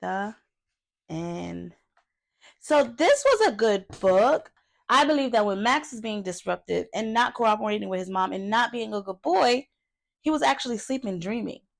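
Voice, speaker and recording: A woman's voice: mid-range voice, lively intonation, moderate pace, gravelly. A woman hosting a read-aloud for young children, reading a picture book and talking to the listeners with questions and little asides.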